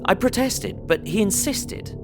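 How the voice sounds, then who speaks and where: A man playing an earnest young solicitor, narrating his travel diary in a measured, descriptive way for an audio drama. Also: high-pitched, expressive. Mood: uneasy, curious. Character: young English solicitor recounting his journey, earnest, observant. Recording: prominent music under voice. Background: music.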